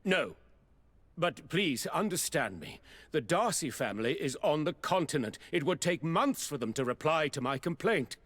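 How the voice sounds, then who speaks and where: Indignant male merchant, formal, fairly high voice, long reverb, video game. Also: expressive, moderate pace, fairly bright tone. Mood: defensive, sullen. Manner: affronted, formal. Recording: long echo of a large room.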